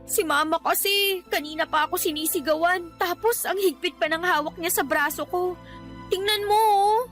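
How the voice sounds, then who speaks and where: A young Filipino woman living with her boyfriend in a radio soap. Her voice is extremely high, and she argues back, exasperated and aggrieved. Soft music sits underneath. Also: normal speed. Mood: defiant. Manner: argumentative, exasperated, complaining. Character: young live-in girlfriend, defiant, aggrieved, answering back. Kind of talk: Filipino radio drama acting.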